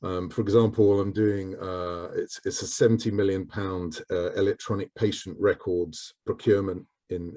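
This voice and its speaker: A man's voice: on the low side, warm tone. A man answering questions on a video call panel, hesitant and full of "um"s. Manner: hesitant, many ums.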